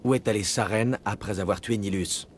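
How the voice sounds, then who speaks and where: An actor playing a stern, controlled military commander in a video game, terse and businesslike. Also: male voice, lowish voice, fairly quick, a little hiss or hum, natural voice. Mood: stern, probing. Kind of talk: dubbed video game cutscene acting.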